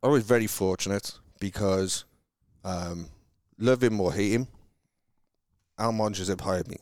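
Man, Welsh accent, very low voice, dry sound, halting restarts, casual chat. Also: darkish tone, no echo. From Wales. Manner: rambling, halting, frequent restarts. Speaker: car salesman guest. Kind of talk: casual conversation.

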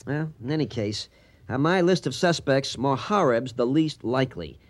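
Animated, suspicious American archaeologist, acted by a man. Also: medium pitch, moderate pace, close to an everyday voice. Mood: speculating. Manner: animated, emphatic, insistent. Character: lively, argumentative American archaeologist, suspicious male deputy. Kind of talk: radio drama acting.

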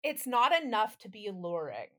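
A woman with a mid-range voice co-hosts a podcast. She talks in relaxed, conversational banter and phrases her takes as wry quips. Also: medium pitch, moderate pace. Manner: wry, quippy. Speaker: podcast co-host. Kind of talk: casual banter.

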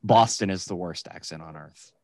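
A man co-hosting a casual podcast, animated and laughing, bursting into loud playful voices. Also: deep voice, moderate pace, no echo, clean studio sound. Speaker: podcast co-host. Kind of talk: casual chat.

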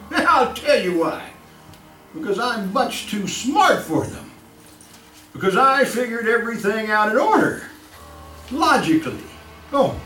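An actor playing a boastful, cunning mad serial killer in old-time radio horror style, with a chuckling, halting, sinister delivery. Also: male voice, sits high, a bit bright, full character voice. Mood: gleeful, boastful. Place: live theatre stage performance. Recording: echoey live stage recording, distant mic, music.

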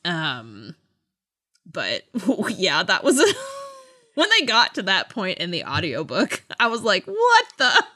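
A lively, bright woman's voice with plenty of movement in pitch. She talks like a podcast co-host in a casual chat, giggling through her words. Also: takes it slowly. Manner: giggly, laughs while talking. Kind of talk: casual book-review chat.

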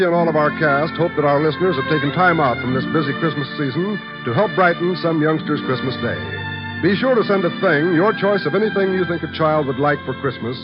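A male announcer giving a measured, formal closing announcement. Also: fairly high pitch. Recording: dull lo-fi 1950 radio transcription. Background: music.

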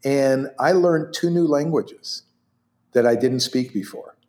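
An older man whose first language is Hebrew, recalling his past in an animated, rambling way, full of restarts and quick laughs. His voice sits at a middle pitch. The room around him rings with a clear echo. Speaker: interview guest, orthopedic surgeon. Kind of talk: conversational storytelling.

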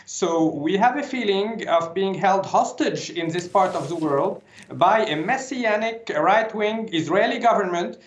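A man with a high-pitched voice gives articulate expert commentary in an interview, in formal wording. The sound is echoey and dull, like a remote link. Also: lively intonation, a little slow, few pauses. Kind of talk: analytical interview answer.

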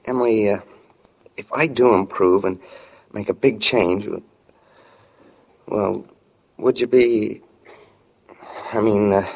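An earnest teenage boy from a small town in a 1940s radio drama. The voice is fairly low, and he stumbles shyly and sincerely through his feelings. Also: fairly even intonation, dull audio. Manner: halting, sincere, aw-shucks.

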